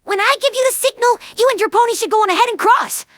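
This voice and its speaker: A woman's voice: very high voice, fast, fairly bright tone, no echo, clean studio sound. A woman voicing a brash, boastful young female pegasus pony in an audio story, teasing and sure of herself. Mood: cocky. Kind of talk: audio story character acting.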